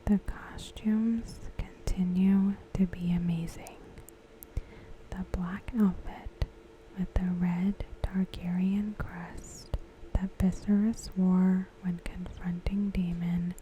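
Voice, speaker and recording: A woman whispering softly and breathily in an ASMR style, giving commentary with gentle, deliberate phrasing. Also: fairly low pitch, little pitch movement, slight background noise, poor audio. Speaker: ASMR creator.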